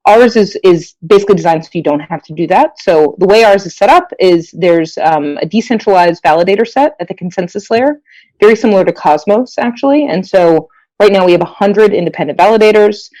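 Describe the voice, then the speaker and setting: A woman's voice: mid-range voice, animated, brisk, dark tone, no echo, rough recording. A woman from the United States speaking as a panel guest, explaining things with frequent fillers. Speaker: panel guest, chief operating officer. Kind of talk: online panel discussion.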